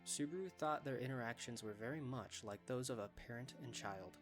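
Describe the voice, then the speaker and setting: Male story narrator with soft music behind. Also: mid-range voice, a little fast.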